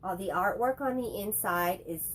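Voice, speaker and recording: A woman co-hosting a relaxed movie chat while fighting a head cold, her voice stuffy and congested. She rambles and jokes as she goes, never sticking to one point for long. A faint hum of noise sits behind her. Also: medium pitch. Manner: rambling, chatty, quick to joke. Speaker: co-host. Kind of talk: casual chat reviewing movies.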